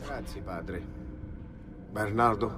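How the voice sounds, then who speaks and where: A man's voice: fairly low pitch, slow pace, background noise. A man playing an arrogant noble plotter, contemptuous and confident, in a video game cutscene. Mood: disdainful. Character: arrogant, scornful male noble conspirator.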